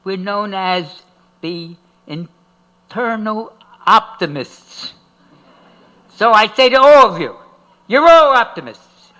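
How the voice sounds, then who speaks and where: High-pitched older man, fiery rally speaker. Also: animated, sharp tone, rough recording. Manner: emphatic, drawn-out call-and-response with rising repeated phrases. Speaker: activist speaker at a tribute. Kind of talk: impassioned rally speech.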